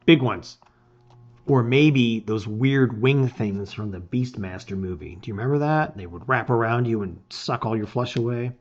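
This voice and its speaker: A man's voice: medium pitch, moderate pace, darkish tone. A middle-aged man giving a dramatic reading of a fantasy adventure, on a slightly dull recording. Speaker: storybook reader.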